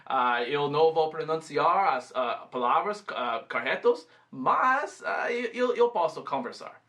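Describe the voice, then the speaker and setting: Man from the US, English native speaker, hesitant with lots of fillers, high bright voice. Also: lively intonation. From United States. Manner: halting, many fillers and restarts. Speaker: guest. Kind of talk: casual conversation.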